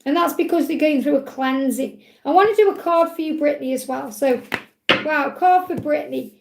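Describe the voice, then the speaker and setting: A woman, a tarot reader hosting a live Q&A, talking in a chatty, reassuring way while her voice grows croaky. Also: sits high, a little fast, roomy sound. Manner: chatty, reassuring, repeats phrases, ends points with "okay?". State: voice getting croaky. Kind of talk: live-stream tarot reading, reading out chat messages.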